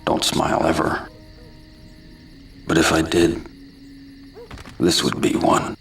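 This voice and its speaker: An actor playing a merciless vigilante killer in a video game, terse and deadpan. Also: male voice, extremely low pitch, takes it slowly, vocal fry, faint music behind, heavily acted. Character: grim, merciless vigilante killer, tough middle-aged man. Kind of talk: video game cutscene and voice-over acting.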